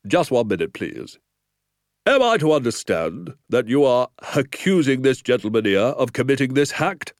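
A man's voice: medium pitch, sing-song, moderate pace, no echo, clean studio sound, voice pushed up, natural voice. A man voicing a stern, formal village police sergeant. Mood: firm. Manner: formal, officious, ungrammatical at times.

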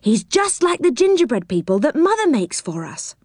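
A woman's voice: sits high, big pitch swings, normal speed, a little mellow, husky, no room sound, clean studio sound, voice pushed up, full character voice. A woman voicing an eager, bossy young boy in a children's story. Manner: eager and exclaiming.

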